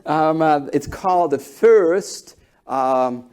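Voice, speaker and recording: A man in his forties whose first language is German, giving a conference keynote in halting English with animated storytelling. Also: fairly high pitch, smooth. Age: 40s. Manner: animated storytelling, halting non-native phrasing. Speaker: keynote speaker.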